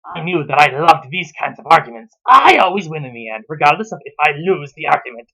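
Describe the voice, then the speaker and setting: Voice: medium pitch, expressive, fairly quick, creaky, bright, forceful tone, breathy edge, exaggerated voice, rough recording. A reviewer voicing a smug young husband and father who narrates his own story in a mock-earnest, self-congratulatory way.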